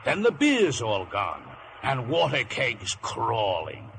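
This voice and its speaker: An actor playing a folksy, reassuring sailor in an adventure film, with a gravelly, unhurried voice. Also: male voice, medium pitch, huge pitch swings, background noise, heavily acted. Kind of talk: 1950s adventure film acting.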